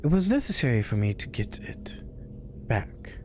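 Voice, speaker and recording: A man hosting a spooky story show, reading tales aloud in a hushed voice that often drops to a whisper. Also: medium pitch, big pitch swings, moderate pace, slight background noise. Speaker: storyteller host.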